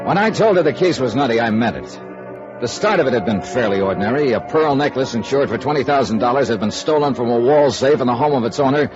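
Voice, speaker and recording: Man acting as a hardboiled radio detective, sardonic, clipped, very animated pitch, muffled vintage recording. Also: natural voice. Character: wry, persistent male freelance insurance investigator. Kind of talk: 1950s radio noir acting and first-person narration.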